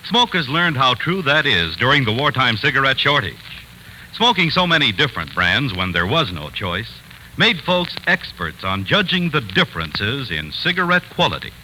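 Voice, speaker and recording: A man delivers an emphatic 1940s radio sales pitch. His lowish voice swoops widely and rings out sharp and forceful over faint broadcast hiss. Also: sing-song, moderate pace, low-quality recording. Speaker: commercial announcer.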